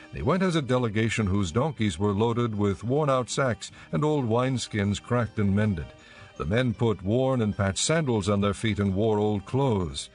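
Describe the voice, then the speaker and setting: A man giving a measured, solemn scripted narration for a dramatized audio Bible. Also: fairly low pitch.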